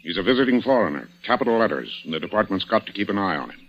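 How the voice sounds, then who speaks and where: A man playing a shrewd, dry, commanding police inspector in old-time radio mystery style, deliberate and sardonic in his questioning. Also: fairly low pitch, very animated. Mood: dry, probing. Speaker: radio actor. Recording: muffled, hissy old radio recording.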